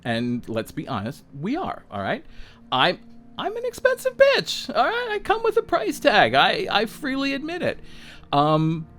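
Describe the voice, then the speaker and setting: A man's voice: sits high, wildly swooping pitch, measured pace, a bit bright. Blunt, profane man in his sixties. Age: 60s. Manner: blunt, profane, self-mocking, lots of "um" and "all right?". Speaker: podcast host, self-employed designer. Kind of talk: unscripted solo talk.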